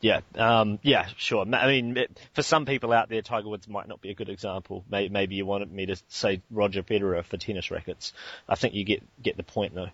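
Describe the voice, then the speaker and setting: New Zealand man hosting a call interview; halting, filler-heavy, dry muffled line. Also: on the low side, steady tone, a bit bright, no echo. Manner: hesitant, many ums and restarts. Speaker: host. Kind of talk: teleconference interview.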